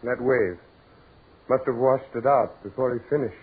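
An actor playing an anxious, urgent man gripped by dread in a 1940s radio horror drama, intense and halting. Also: mid-range voice, breathy edge, narrow, tinny audio. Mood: puzzled. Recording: noisy, band-limited 1940s radio recording.

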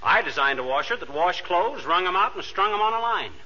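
A very sharp, lively voice of a boastful, excitable husband. He blusters in a folksy 1940s radio comedy style, on a dull old recording. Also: high-pitched, fairly quick, exaggerated voice. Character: restless, boastful, excitable middle-aged husband.

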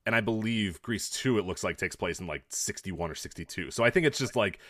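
Man, slightly low voice, lively intonation, casual podcast co-host, easygoing, joking, profane. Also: fairly quick, dry recording, clean studio sound. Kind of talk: casual comedic movie chat.

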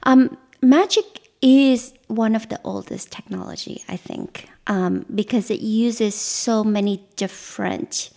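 A woman's voice: on the high side, takes it slowly, creaky, dark tone. A woman guest, a mentalist, speaking thoughtfully in a casual interview with frequent restarts. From Vietnam.

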